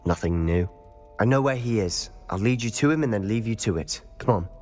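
A man playing a determined, confident teenage student drawn to dark magic, in a video game cutscene. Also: on the low side, lively intonation, brisk, long reverb tail, soft background music. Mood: frustrated, determined. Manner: confident, conspiratorial.

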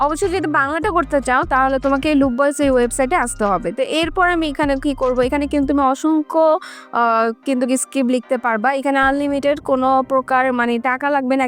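A woman giving a casual how-to tutorial, talking directly to her viewers step by step. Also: sits high. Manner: instructional, addressing viewers directly. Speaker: tutorial host.

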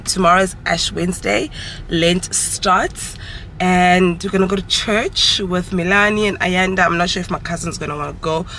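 A woman's voice: medium pitch, fairly bright tone, a little hiss or hum. A woman, chatting casually to camera as a mom vlogger and correcting herself as she rambles. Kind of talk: casual vlog chat to camera. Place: at home.

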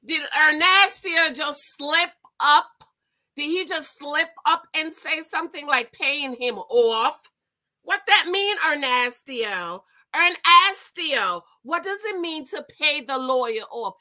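A middle-aged woman giving informal, animated reaction commentary, exclaiming, stretching words for emphasis and repeating her phrases. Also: sits high, takes it slowly, rough recording. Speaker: host of a commentary channel. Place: small room at home, indoors.

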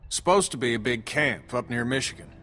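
An actor playing a stubborn, prickly middle-aged survivor in a dramatic video game, blunt, folksy and sarcastic. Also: male voice, mid-range voice, animated, fairly quick, sharp tone, soft background music. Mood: testy.

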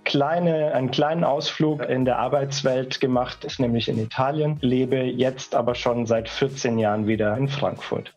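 A man's voice: mid-range voice, fairly even intonation, normal speed, few pauses, darkish tone, soft background music. A man in his forties from southern Germany, talking casually and hesitantly as a guest on a video call. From Stuttgart, Germany. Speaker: guest, marketing manager. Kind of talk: casual conversational talk. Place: indoors, office chair, video call. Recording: muffled video call audio.

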